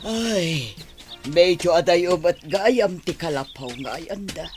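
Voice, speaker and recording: A woman's voice: on the low side, expressive, slow pace, a little mellow, faint music behind. A woman playing a worried, disapproving middle-aged mother in a radio comedy drama. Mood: earnest.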